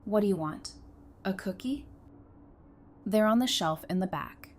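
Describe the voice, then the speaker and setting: A woman acting a defiant, sharp-tongued but protective survivor in an audio drama, blunt and intense in her delivery. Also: mid-range voice, expressive, measured pace. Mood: defiant, sarcastic. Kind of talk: full-cast fan audio drama acting. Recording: clear background noise.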